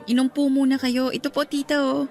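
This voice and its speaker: An actress playing a bashful, polite young girlfriend in a radio drama, with soft music underneath. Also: high-pitched, flat delivery, brisk. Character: shy, good-natured young girlfriend.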